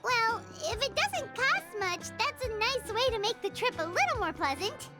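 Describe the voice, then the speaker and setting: A woman voicing a tiny, excitable fairy companion in a video game, with a squeaky, childlike voice. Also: normal speed, music in the background. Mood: curious. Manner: excitable, speaks of self in third person.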